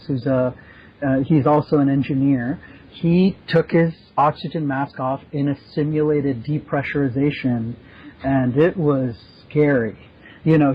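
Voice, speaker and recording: A man co-hosting a podcast, chatting casually and telling stories. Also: fairly high pitch. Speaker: podcast co-host. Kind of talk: casual animated storytelling. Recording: dull sound with faint noise.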